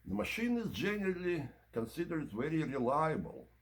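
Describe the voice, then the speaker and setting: A man presenting an informal product review and demonstration, explaining in measured, short broken phrases. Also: fairly high pitch, very animated. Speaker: presenter of audio equipment review.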